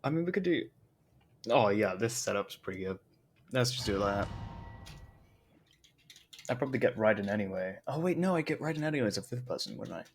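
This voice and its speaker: A man's voice: lowish voice, lively intonation, a little fast, pauses often, slight background noise. A man giving casual live gameplay commentary as a streamer, rambling in a jokey, self-deprecating way. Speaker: game streamer.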